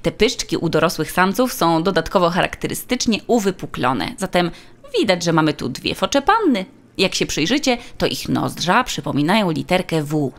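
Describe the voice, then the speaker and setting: A woman's voice: on the low side, lively intonation, moderate pace, pitched above the performer's own voice. A woman voicing a helpful, polite female tour guide who explains things in a children's audiobook. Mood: explaining.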